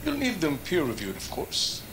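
A man's voice: on the high side, wildly swooping pitch, moderate pace, noisy background. A man playing a coldly menacing zealot scientist in a video game, condescending and theatrical in manner. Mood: condescending, sinister. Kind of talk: dramatic video game acting.

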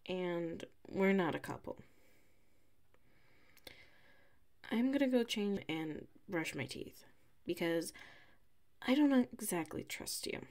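A woman playing a flustered, defensive female classmate with a secret crush in an ASMR audio roleplay, huffy and halting. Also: lowish voice, lively intonation, a little mellow. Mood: huffy, scolding. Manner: halting, huffy, defensive, slips into muttered whispers.

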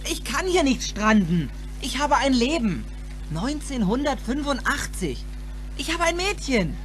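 A man's voice: very high-pitched, sing-song, measured pace, a bit bright, faint music behind, heavily acted. A young male actor playing an earnest, urgent teenage boy who pleads insistently in a German radio play. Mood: desperate.

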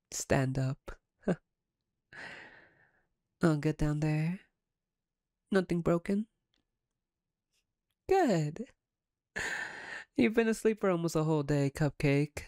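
A woman playing a playful, smug, flirtatious female vampire captor in an ASMR roleplay, with a teasing, wry, unhurried manner. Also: deep voice, warm tone, dry recording, studio quality. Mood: smug, commanding.

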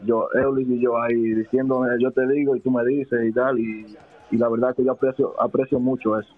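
A man's voice: medium pitch, level tone, fairly quick, dry recording. Hesitant Dominican man, thin phone-like sound. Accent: Dominican Spanish. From Dominican Republic. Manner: hesitant, many restarts and fillers. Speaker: basketball player guest. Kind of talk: casual interview answers. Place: small studio with desk. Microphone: headset and desk mic. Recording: dry, phone-like narrow sound.

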